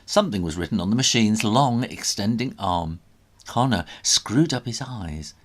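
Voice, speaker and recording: A man reading a children's story aloud in a dramatic, expressive way. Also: on the low side, moderate pace.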